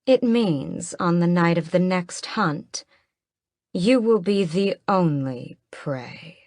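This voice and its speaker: A woman's voice: fairly low pitch, animated, slow pace, darkish tone, no room sound, studio quality. A woman voicing a haughty, ageless vampire queen who speaks in cold, mocking commands. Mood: scornful.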